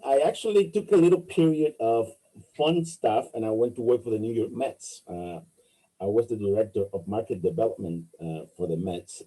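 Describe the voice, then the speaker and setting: A middle-aged man from the Republic, answering interview questions as a candidate, halting with many fillers and restarts. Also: fairly low pitch, dark tone, slightly rough, rough recording. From Dominican Republic. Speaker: political candidate.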